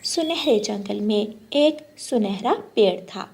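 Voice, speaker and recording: A woman's voice: mid-range voice, animated, slow, vocal fry, darkish tone, husky. A woman delivering scripted narration for a children's story.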